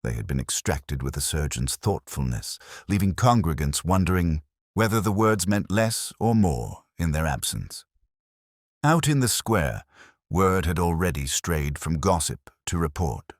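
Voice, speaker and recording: A man narrating a literary mystery in a measured, dramatic storytelling manner. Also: deep voice, lively intonation, no room sound, clean studio sound.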